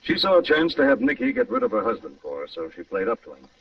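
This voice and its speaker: A man acting a shrewd, composed gentleman detective in an old radio drama. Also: on the high side, wildly swooping pitch, fast, gravelly, exaggerated voice. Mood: explanatory, assured. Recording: muffled old radio recording, distant and roomy.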